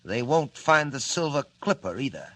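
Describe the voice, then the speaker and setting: A man playing a cunning, menacing gang boss in a 1940s radio serial, mock-courteous and deliberate, on a noisy old recording. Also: medium pitch, very animated, a bit bright. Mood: gloating menace.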